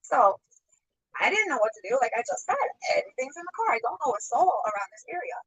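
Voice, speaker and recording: Woman vlogger telling a wild story, high bouncy voice, all over the place, kinda breathless. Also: very animated, fast, a bit bright, breathy edge, dry recording, poor audio. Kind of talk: storytelling vlog.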